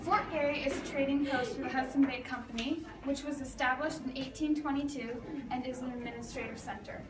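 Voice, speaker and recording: A woman reading scripted answers in the role of a historical man, in a plain, steady way. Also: high-pitched, a little fast, natural voice. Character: grown man, a historical Canadian figure. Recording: echoey room, far from the mic, with background noise.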